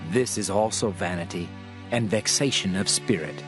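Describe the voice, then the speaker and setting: A man giving a dramatized reading of King James scripture in a solemn, measured, deliberate way. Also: deep voice. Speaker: scripture narrator. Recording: music behind the voice. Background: music.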